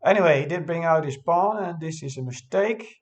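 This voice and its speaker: A man's voice: fairly high pitch, a little fast, lo-fi sound. A man who is not a native English speaker, casually thinking aloud as he reviews his own game, hesitant and often correcting himself.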